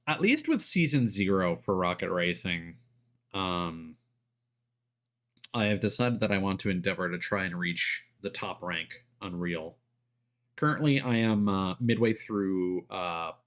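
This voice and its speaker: A man giving casual, explanatory livestream commentary on a video game, thinking aloud with hesitant pauses. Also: sits low, fairly bright tone. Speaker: video game live streamer. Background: music behind the voice.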